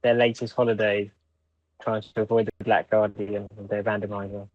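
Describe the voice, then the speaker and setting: A man's voice: on the low side, little pitch movement, normal speed, no room sound, lo-fi sound. A man co-hosting a podcast, chatting casually as he reviews a story. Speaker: podcast co-host.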